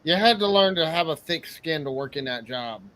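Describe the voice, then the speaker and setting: A man's voice: a little high, lively intonation, brisk, a bit bright. A middle-aged man co-hosting a casual live panel, telling long earnest stories. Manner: earnest, rambling storyteller. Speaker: co-host.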